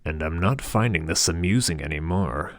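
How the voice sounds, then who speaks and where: A man's voice: low-pitched, expressive, normal speed, no echo, clean studio sound. A man voicing a snobbish, dismissive businessman with a plummy, condescending drawl. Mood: exasperated. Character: snobbish, dismissive British businessman, middle-aged.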